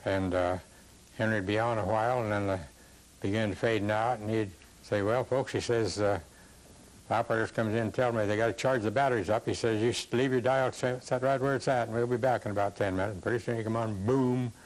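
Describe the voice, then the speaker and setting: An older man being interviewed, telling a story casually in a plain, folksy, somewhat halting manner. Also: on the low side, fairly even intonation, rough recording. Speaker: interviewee recalling early radio days.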